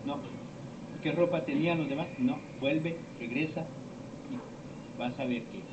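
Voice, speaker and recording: Middle-aged male lecturer, slow and meandering, quoting conversations, recorded from afar on a dull, noisy amateur recording. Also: fairly high pitch. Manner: slow, rambling storytelling with many pauses and quoted dialogue. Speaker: teacher of esoteric topics. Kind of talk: informal lecture to students. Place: lecture room. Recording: echoey room, distant mic, dull lo-fi sound, noisy.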